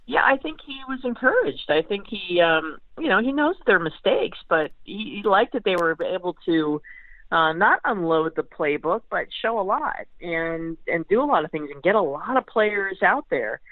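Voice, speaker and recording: A woman's voice: on the low side, animated, moderate pace, dry recording. A phone-like, narrow-band line carries an American woman talking sports as a guest analyst. She speaks casually and conversationally, often restarting and repeating phrases as she works out her point. The sound is dry, with no room around it. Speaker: sports beat writer, podcast guest.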